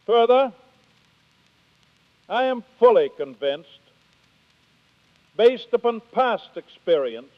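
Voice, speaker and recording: An American man giving a formal, deliberate 1930s radio address as a Scouting executive on an old archive recording. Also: very high voice, big pitch swings, big-room sound, lo-fi sound.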